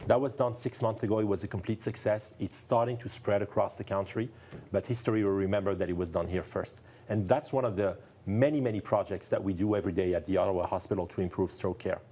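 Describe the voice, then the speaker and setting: A man from France speaks English with a French accent, his voice deep and mellow. He talks as a guest doctor in a TV studio interview, earnest and enthusiastic, often repeating a phrase to stress it. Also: fairly even intonation, fast talker. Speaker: neurologist guest.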